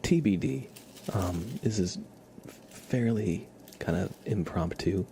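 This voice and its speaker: A man hosting an ASMR livestream, chatting casually and softly, often slipping toward a whisper, with noise behind him. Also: low-pitched, slow. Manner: soft-spoken, often drops to a whisper. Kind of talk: soft casual ASMR chat. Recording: clear background noise on livestream.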